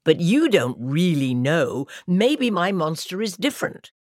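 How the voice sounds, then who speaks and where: A woman's voice: on the low side, very animated, a little slow, no echo, clean studio sound. A woman voicing a determined little girl in a storybook reading.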